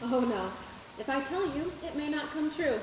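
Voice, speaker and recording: A woman playing a sweet, gentle young small-town woman in a live radio play, soft and demure. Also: high-pitched, lively intonation, moderate pace. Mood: sweet, coy. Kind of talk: live 1940s-style radio play acting. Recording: echoey stage with hiss.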